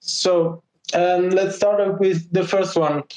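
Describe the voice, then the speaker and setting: An Italian man speaking English as a second language, with a clear Italian accent. His voice sits a little high. He reads out community questions carefully on an online audio call. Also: steady tone, slow pace, a little mellow, rough recording. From Italy. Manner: apologetic, careful.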